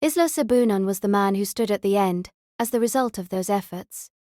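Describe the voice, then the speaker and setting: A woman's voice: mid-range voice, a little fast, warm tone, no echo, clean studio sound. A woman reading scripted fiction narration as the narrator of a novel.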